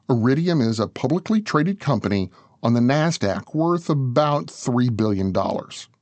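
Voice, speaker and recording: Lively male documentary narrator, dry sound. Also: mid-range voice, dry recording. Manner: conversational, addresses the listener directly. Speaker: show narrator.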